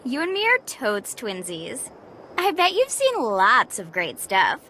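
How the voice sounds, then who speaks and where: High, bright, sassy valley-girl creature. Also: huge pitch swings, measured pace, a little hiss or hum, full character voice. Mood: gushing, nosy. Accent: American. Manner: sassy valley-girl drawl, exaggerated slang. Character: gossipy, snarky teenage valley-girl creature, bossy know-it-all. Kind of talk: cartoonish video game character acting.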